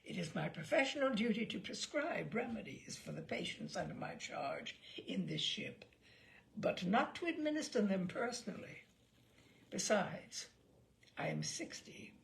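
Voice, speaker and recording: A woman's voice: mid-range voice, very animated, measured pace, vocal fry. A woman voicing a sardonic, grave ship's doctor of sixty, dry and pompous, in a storybook reading. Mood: grave, formal.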